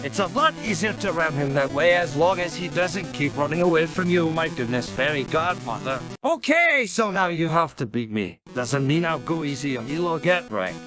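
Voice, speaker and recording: A man's voice: on the high side, lively intonation, normal speed, few pauses. A man hosting a video game tutorial, narrating in a playful, exaggerated style full of internet slang, with music behind him. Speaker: gaming tutorial host.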